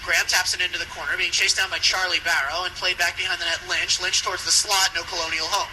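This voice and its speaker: A man doing live play-by-play commentary of an ice hockey game for radio, following the action briskly. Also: sits high, little pitch movement. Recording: noisy live radio sports broadcast.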